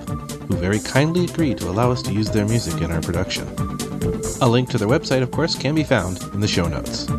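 Low-voiced male announcer over music. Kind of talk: scripted radio play sign-off narration.

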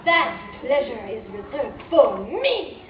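A woman's voice: high voice, very animated, takes it slowly, highs cut off, a little hiss or hum, heavily acted. A woman playing a seductive, unhinged half-weasel villain queen in a comedic radio play, with a coy, theatrical delivery. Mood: furious. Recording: roomy, distant, with prominent music behind.

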